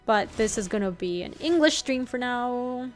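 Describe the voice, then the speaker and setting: A woman's voice: a little high, animated, faint music behind. Chatty young woman streaming a card game. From Ecuador. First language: Spanish. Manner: chatty, reads chat aloud, quick reactions and laughs. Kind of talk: casual live-stream gameplay commentary.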